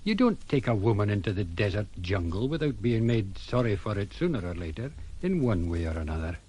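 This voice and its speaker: A man's voice: fairly low pitch, sing-song, fairly quick, mellow, slightly rough. A man playing a genial, fussy sea captain in an audio drama, polite, hesitant and given to musing aloud. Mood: puzzled, musing.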